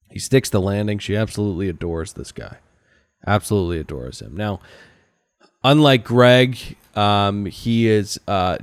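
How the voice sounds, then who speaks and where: A man's voice: on the low side, clear, resonant tone, no room sound, clean studio sound. A man co-hosting a comedic TV recap podcast, chatting casually in a sarcastic, mocking way. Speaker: podcast co-host.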